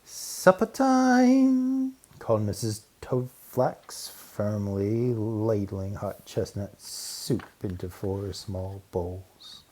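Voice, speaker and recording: A man narrating a children's storybook aloud as an audiobook, with deliberate, dramatic pauses and emphasis. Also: fairly low pitch, level tone, mellow.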